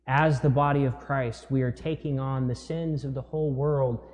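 Conversational priest in a reverberant church. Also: male voice, mid-range voice, steady tone, normal speed, darkish tone, slight background noise. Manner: conversational, gesturing, with filler words and restarts. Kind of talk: sermon. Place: large church, indoors.

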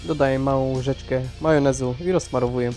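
A man's voice: mid-range voice, fairly even intonation, quick pace, mellow. A man giving scripted recipe narration as a cooking host. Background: guitar music.

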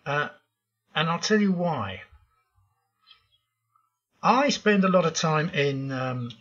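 An older man talks through his slides with blunt opinions and plenty of ums. His voice is bright and edgy but very husky. The voice-over sounds muffled and lo-fi. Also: on the high side, expressive, slow. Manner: opinionated, frank, with frequent ums. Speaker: programming tutorial host. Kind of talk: narrated slide lesson.

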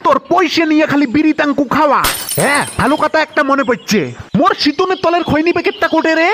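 Very high male voice, suspicious village dad, North Bengal accent, coarse insults, fast, faint noise, cartoon. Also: a bit bright. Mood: angry, mocking. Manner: coarse, scolding, mocking. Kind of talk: comedy cartoon dialect dialogue. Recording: faint background noise with clicks.

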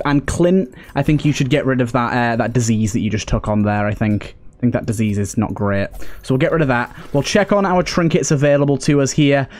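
A man's voice: fairly high pitch, darkish tone. A man giving casual, chatty gameplay commentary as a let's play host, thinking aloud with mild understatement. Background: video game music.

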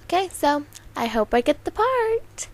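A young woman amateur auditioning for voice-over, speaking in a halting way with occasional stumbles over words. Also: high voice, very animated. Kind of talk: casual intro and slow poem recitation. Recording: noisy amateur home recording.

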